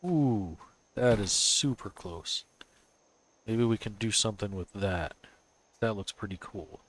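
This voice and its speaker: A somewhat low-voiced man, stuffy and sniffly from a cold. He talks to himself in a loose, casual way, muttering and thinking aloud as he builds. It is relaxed live-stream commentary. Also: measured pace, slight background noise.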